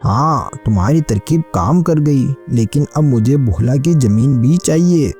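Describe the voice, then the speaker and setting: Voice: mid-range voice, animated, unhurried, dark tone, soft background music, lightly acted. A performer voicing a greedy, callous male village moneylender in a cartoon moral story, cold and calculating. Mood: scheming. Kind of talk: Hindi animated moral story dialogue.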